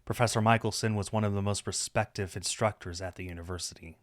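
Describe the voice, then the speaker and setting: A man's voice: fairly low pitch, steady tone, fast talker, dry recording, clean studio sound. A man reading story narration aloud from a script. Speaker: streamer reading a visual novel aloud.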